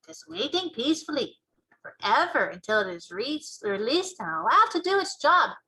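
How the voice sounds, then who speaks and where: Storybook giant played by a woman: old male, gentle, solemn, oddly jumbled grammar, higher-pitched and bright. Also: very animated, moderate pace, heavily acted, rough recording. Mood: earnest, explaining. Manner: quirky mangled grammar.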